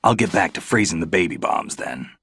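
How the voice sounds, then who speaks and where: A man's voice: low-pitched, expressive, brisk, a bit bright, breathy edge, dry recording, exaggerated voice. An actor playing a seasoned male soldier in a video game cutscene, terse and matter-of-fact. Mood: gruff. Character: seasoned male soldier, gruff, calm, dependable. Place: recording studio. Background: game score music.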